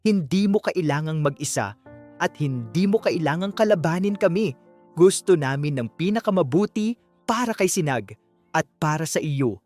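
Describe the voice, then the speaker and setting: A narrator voicing an earnest, determined man in an audiobook, speaking in a gentle, measured, reassuring way. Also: medium pitch, expressive, soft background music, lightly acted.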